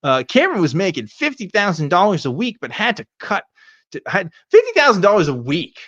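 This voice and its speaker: A man's voice: a little high, fairly bright tone, no echo, dull audio. A middle-aged American man hosting a casual show, reading aloud and riffing with sardonic, profane asides. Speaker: podcast host.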